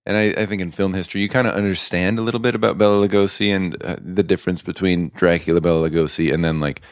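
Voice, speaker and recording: A man in his thirties co-hosting a movie podcast in casual chat, his voice thick and deepened by a cold, rambling and often trailing off mid-thought. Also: fairly even intonation, fast, smooth, no echo, studio quality. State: sick with a cold, deep rough voice. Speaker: podcast co-host.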